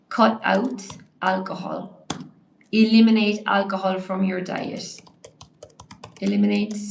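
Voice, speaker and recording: A young woman teaching a live online English lesson, a little hoarse, praising viewers by name as she explains. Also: mid-range voice, roomy sound, rough recording. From Ireland.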